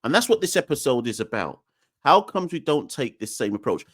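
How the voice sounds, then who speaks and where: A man's voice: mid-range voice, sing-song, a little fast, fairly bright tone, dry recording, studio quality. A man from Jamaica hosting a cricket podcast in a casual, emphatic way, with lots of fillers and tag questions. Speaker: podcast host. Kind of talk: casual solo podcast talk.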